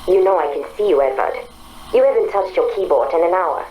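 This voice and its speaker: An actress playing an earnest, probing female artificial intelligence with a calm, deliberate manner in a video game. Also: lowish voice, a little hiss or hum, natural voice, poor audio. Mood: curious. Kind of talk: dramatic game dialogue acting.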